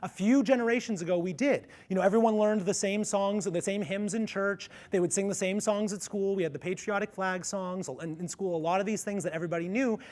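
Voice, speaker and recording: A man's voice: high voice, fairly quick, hardly any pauses. Ringy-voiced man leading a workshop. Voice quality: ringy, resonant speaking voice. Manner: energetic, coaching the audience through vocal exercises. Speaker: music education workshop presenter. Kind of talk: conference workshop talk. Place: conference room with a live audience.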